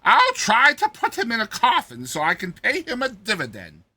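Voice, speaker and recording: Blustering male company boss as a reader acts him out: raised pitch, loud, declaiming, ebullient storybook figure. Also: huge pitch swings, moderate pace, slightly rough, exaggerated voice. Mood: boastful. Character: ebullient, blustering male casket industry tycoon. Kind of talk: dramatized storybook character voice.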